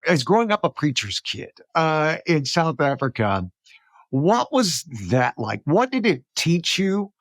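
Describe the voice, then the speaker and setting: A man's voice: a little high, big pitch swings, unhurried, a bit bright, no room sound. A man from Alabama hosting a podcast interview, chatty and eager, often stumbling and restarting his questions. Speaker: podcast host.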